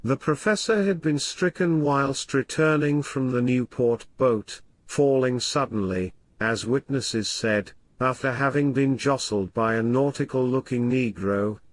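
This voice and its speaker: A man playing a learned, formal professor who narrates literary horror in a grave, measured manner. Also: medium pitch, lightly acted. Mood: grave, scholarly. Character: learned male professor reading weird tales, grave and formal. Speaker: narrator and host.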